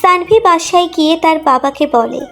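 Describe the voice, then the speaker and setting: A woman's voice: extremely high pitch, normal speed, pushed and bright, soft background music. A woman reading a scripted emotional romantic story as its narrator.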